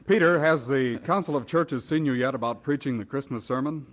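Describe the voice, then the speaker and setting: A man's voice: medium pitch, fairly quick, natural voice. An actor playing a jovial, teasing clergyman in an audio drama, chatty and genial in manner.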